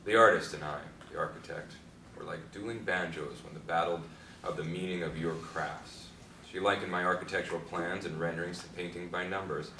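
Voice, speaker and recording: Echoey, distant, low-voiced aging Beat architect played by a man. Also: fairly even intonation, fairly quick, sharp tone. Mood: wistful. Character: aging male Beat architect, reflective, pedantic storyteller. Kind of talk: stage play scene reading. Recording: echoey, noisy room from far off.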